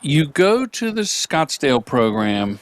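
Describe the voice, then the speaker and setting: A man's voice: medium pitch, a little slow. A man hosting an interview podcast, joking and riffing playfully with his guest. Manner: jokey, riffs playfully, animated. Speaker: podcast host. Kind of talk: casual interview chat.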